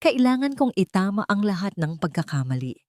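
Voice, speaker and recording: A woman's voice: medium pitch, very animated, fairly quick, a little mellow, dry recording, studio quality, pitched above the performer's own voice, close to an everyday voice. A woman voicing a troubled, guilt-ridden rich woman seeking the truth in an audio story. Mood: anguished resolve.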